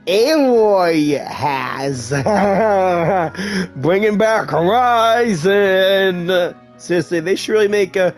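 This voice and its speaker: Female gaming streamer, slow pace, informal asides, reaction commentary, dry sound, faint music. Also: mid-range voice, expressive. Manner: informal, interjecting asides at the game. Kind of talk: casual reaction commentary over gameplay.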